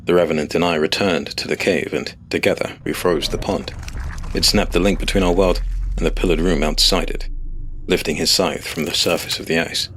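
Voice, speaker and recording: A man's voice: low-pitched, fairly even intonation, fairly quick, a bit bright, a little hiss or hum. A man reading a scripted first-person fantasy story as its narrator.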